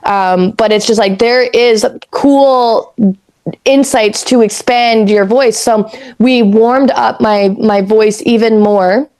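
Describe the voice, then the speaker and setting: A raspy-voiced woman in her thirties from Canada, co-hosting a podcast in rambling, casual chat that drifts into spiritual talk and easy swearing. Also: fairly high pitch, slow pace, a little mellow. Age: 30s. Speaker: podcast co-host.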